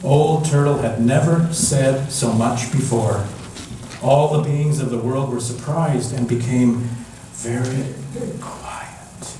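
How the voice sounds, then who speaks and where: A man reading a picture book aloud as a storyteller, in a gentle, measured manner with long pauses. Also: mid-range voice. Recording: echoey room from far off, background noise.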